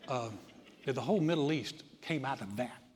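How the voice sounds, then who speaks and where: A man preaching a sermon as pastor, moving from easy conversational teaching into loud, emphatic delivery. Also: mid-range voice, normal speed, in a large hall, noisy background.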